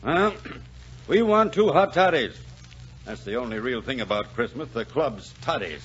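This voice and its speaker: An actor playing a crotchety elderly club gentleman in a 1940s radio drama, grumbling, hemming and hawing. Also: medium pitch, expressive, a little slow, slightly rough. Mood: peevish, cynical. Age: older. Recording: muffled 1940s radio recording.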